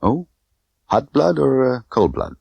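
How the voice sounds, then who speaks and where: A man's voice: medium pitch, very animated, unhurried, creaky, breathy edge, no room sound, lo-fi sound, pitched above the performer's own voice, heavily acted. A man voicing a tough, condescending young woman smuggler who talks in clipped, bossy slang. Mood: dry, businesslike.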